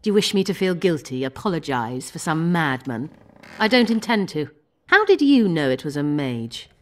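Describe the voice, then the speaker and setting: An unapologetic, defiant sorceress from a video game. Her slightly low voice swings widely in pitch, haughty and indignant. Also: big pitch swings, normal speed, darkish tone. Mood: indignant, dismissive.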